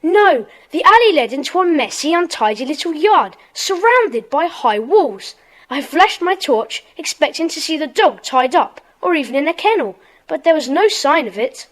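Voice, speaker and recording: A woman playing an excited schoolboy detective, earnest and eager, in a children's audio drama. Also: high voice, normal speed, rough recording. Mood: excited, puzzled.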